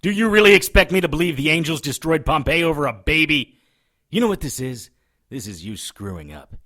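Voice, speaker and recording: An actor playing a defiant, wisecracking hardboiled detective with a sardonic manner in an audio drama. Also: male voice, on the high side, animated, brisk, sharp tone. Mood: angry, accusing.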